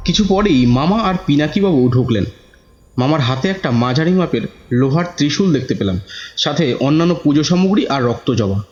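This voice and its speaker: A man's voice: medium pitch, darkish tone. A man narrating a scripted horror story in a dramatic, suspenseful manner. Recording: dull audio with music bed.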